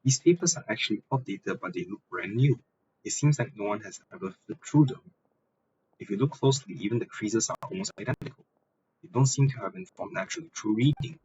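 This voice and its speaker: A man as a calm, low-voiced investigator. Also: brisk, slight background noise, close to an everyday voice. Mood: analytical. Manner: calm, analytical. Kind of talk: video game voice acting. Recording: dull audio, faint music behind. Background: game background music.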